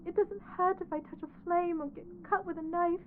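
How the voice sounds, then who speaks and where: A woman voicing a lovestruck, clingy undead corpse bride in an ASMR audio roleplay, soft, earnest and pleading. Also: extremely high pitch, steady tone, moderate pace, phone-quality sound, slight background noise. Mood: tearful pleading.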